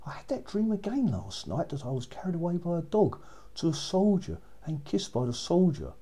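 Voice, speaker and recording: A man voicing an innocent young princess in a children's story, with a breathy voice. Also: fairly high pitch, sing-song, moderate pace, warm tone, pitched above the performer's own voice. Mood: dreamy. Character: young princess telling her dream, innocent.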